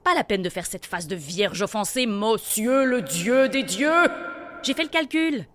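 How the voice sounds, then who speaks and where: A woman's voice: a little high, lively intonation, measured pace, fairly bright tone, dry recording. An actress playing Hera as an exasperated, scolding queen of the gods in a comic audio drama, cutting and reproachful.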